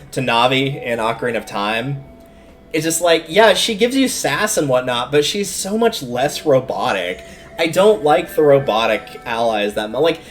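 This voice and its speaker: A man giving casual, chatty, opinionated live commentary as he streams a video game, rambling off into asides. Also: medium pitch. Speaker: game livestreamer.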